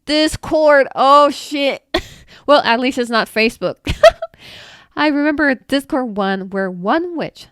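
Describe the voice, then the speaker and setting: A woman's voice: a little high, a bit bright, clean tone, no room sound, clean studio sound. A woman hosting her own channel, reading viewer comments aloud and reacting with laughs, exclamations and mild swearing. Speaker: channel host answering viewer questions.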